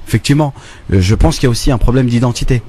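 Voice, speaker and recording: Guy on a radio panel, medium pitch, hesitant and repeating words, faint background noise. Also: rapid-fire, warm tone. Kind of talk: conversational debate.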